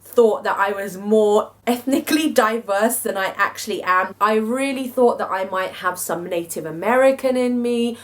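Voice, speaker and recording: A young woman speaks straight to her viewers in a casual, chatty vlog. She is animated and playful, leaning hard on words for effect. Her voice sits at an ordinary middle pitch. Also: normal speed, few pauses. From Philippines heritage, living in UK. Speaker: vlogger. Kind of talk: casual talk to camera.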